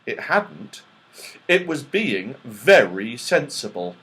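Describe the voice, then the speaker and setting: Unhurried, expressive storytelling man. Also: medium pitch. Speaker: audiobook reader. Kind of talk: expressive children's story narration.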